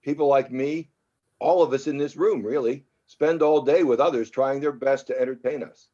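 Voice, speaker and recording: A man acting a smooth, wealthy Hollywood producer in his twenties at a drama table read, conversational and self-assured, on slightly dull audio. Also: mid-range voice, normal speed, mellow, lightly acted.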